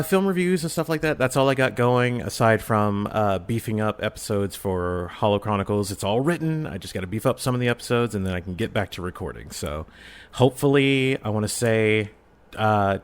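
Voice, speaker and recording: Man, low voice, animated storyteller, podcast guest, casual chat. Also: moderate pace, clear, resonant tone. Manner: animated storytelling, acts out reactions. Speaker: podcast guest, audio drama creator and film reviewer.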